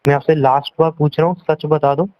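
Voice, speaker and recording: A man's voice: fairly high pitch, little pitch movement, fast, a little mellow, no echo. A young man arguing on a phone call in an accusing, scolding and repetitive way. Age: young adult. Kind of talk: heated relationship argument in casual Hindi-English talk. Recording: dull lo-fi call recording.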